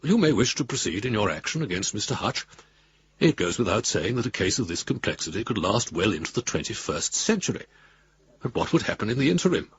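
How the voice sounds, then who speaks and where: An actor playing a pompous senior lawyer in a radio drama, reading a formal, wordy letter in a measured way. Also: male voice, fairly low pitch, a little hiss or hum, natural voice. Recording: dull lo-fi radio recording.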